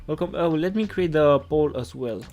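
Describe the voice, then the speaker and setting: A man from Romania hosting a casual live coding tutorial, halting and repeating his words as he goes. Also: fairly high pitch, a little mellow. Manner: halting, repeats words while reading chat. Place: indoors at a computer desk. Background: music playing, keyboard typing.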